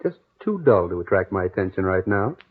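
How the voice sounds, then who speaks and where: Animated young husband, tinny old radio. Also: male voice, low-pitched, fairly quick. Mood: breezy, joking. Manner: breezy banter turning to urgent pleading. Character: brash young newlywed husband, ex-soldier, excitable. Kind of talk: old-time radio horror drama acting.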